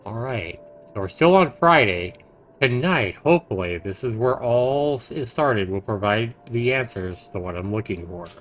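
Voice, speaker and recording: A man streaming a visual novel, reading it aloud haltingly with stumbles, self-corrections and casual asides. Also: medium pitch, lively intonation, a bit bright, slight background noise.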